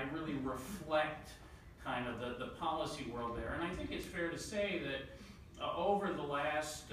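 A bright-voiced man speaks quickly at a conference table, piling up "uh"s and restarts. He is picked up from a distance in a large, very reverberant room with a faint hiss. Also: mid-range voice. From New York City area. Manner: quick-talking, with many fillers and repeated words. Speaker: panelist. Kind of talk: policy panel remarks. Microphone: table mic, recorded from the far side of the room. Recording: echoey room audio from far off.

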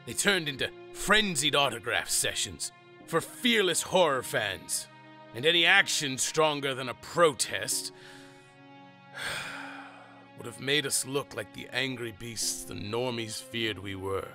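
An actor playing an earnest middle-aged monster father, telling family history with measured, dramatic pauses in an audio drama. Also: medium pitch, expressive, sharp tone, soft background music, exaggerated voice. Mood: grave, bitter.

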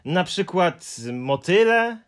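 A man's voice: sits high, animated, slow pace, pitched above the performer's own voice. Moomintroll: a man doing an earnest young troll. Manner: earnest and helpful. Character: thoughtful kind young male troll. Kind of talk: audiobook character voice.

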